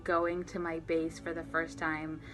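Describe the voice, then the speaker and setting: An Israeli woman in her thirties speaks reflectively, her voice sitting a little low. Faint music plays behind her. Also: completely flat delivery, a little slow. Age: 30s.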